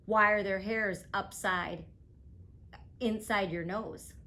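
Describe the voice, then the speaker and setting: A woman's voice: medium pitch, steady tone, slow pace, pushed and bright. A woman voicing a curious little girl full of questions, in an eager, questioning lilt, reading a storybook aloud.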